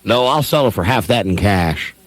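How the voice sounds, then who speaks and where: A man's voice: lowish voice, expressive, normal speed, no room sound, voice pushed down. A man playing an eager, restless young son who dreams of big things in a parody radio play. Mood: dreamy, confident.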